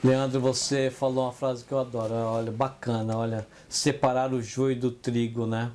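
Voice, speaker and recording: A Brazilian man co-hosting a casual business podcast, telling stories in an animated way and acting out the voices of the people he quotes. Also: mid-range voice, normal speed. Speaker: podcast co-host.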